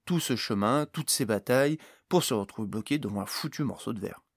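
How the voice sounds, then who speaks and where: A man's voice: mid-range voice, brisk, slightly rough, no echo. A man voicing a stolid male adventurer in a fantasy audiobook, sceptical and impatient, with a dry, resigned manner. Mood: resigned, frustrated.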